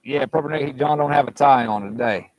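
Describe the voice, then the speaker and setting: A man's voice: mid-range voice, a little fast, highs cut off. A man hosting a casual live stream from a campsite, rambling and joking with viewers over a clip-on mic. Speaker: livestream host. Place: outdoors at a campsite. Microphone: clip-on mic with fuzzy windscreen.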